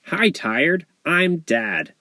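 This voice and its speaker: A man's voice: a little high, sing-song, very slow, sharp tone, no echo, pitched above the performer's own voice, exaggerated voice. A man voicing a jolly, playful monkey dad who loves corny jokes, in a children's storybook reading. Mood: jolly, welcoming.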